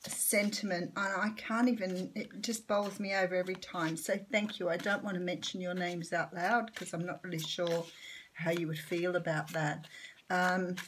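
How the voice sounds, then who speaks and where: A woman with an Australian accent giving a chatty tarot reading, her voice a little croaky this morning, with lots of ums. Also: on the low side, slight background noise, low-quality recording. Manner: chatty, warm-hearted, rambling with many ums. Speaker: tarot reader. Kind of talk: casual tarot reading vlog.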